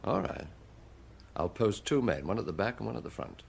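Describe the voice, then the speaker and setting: A man acting in a British radio detective drama as an insistent, authoritative police chief who questions briskly and with impatience. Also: lowish voice, big pitch swings, gravelly, a little mellow, breathy edge, full character voice. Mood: firm, composed. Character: authoritative male police chief, probing, impatient, menacing interrogator.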